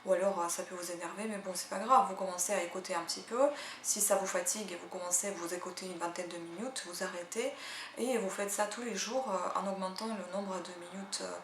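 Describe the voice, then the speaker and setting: A woman's voice: medium pitch, fairly even intonation, a little fast, slight background noise. Chatty woman. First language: Russian. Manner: chatty, hesitant, full of "euh" fillers. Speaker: language-learning channel host. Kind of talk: casual spoken language tips and read-aloud practice. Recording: echoey room.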